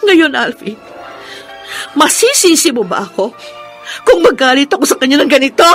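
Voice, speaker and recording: Bitter young wife in a radio drama, super high sharp voice, tearful n accusing. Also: female voice, very animated, normal speed, faint music behind. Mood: angry. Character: bitter young wife raging at her father-in-law. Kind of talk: melodramatic radio drama acting.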